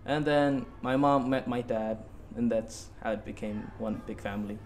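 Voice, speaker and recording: A man's voice: mid-range voice, normal speed, slight background noise. A young man in his late teens from Bali giving casual interview answers as a student guest, hesitant and repeating himself. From Bali, Indonesia.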